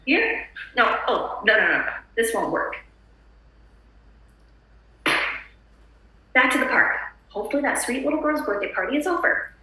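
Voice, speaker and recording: A woman's voice: a little high, sing-song, measured pace, pauses often, pushed and bright, slight background noise, exaggerated voice. A woman playing an anxious, polite suburban housewife in a screenplay table read, prim and chirpy. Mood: indignant, flustered. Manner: prim, apologetic, fussy. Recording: echoey room.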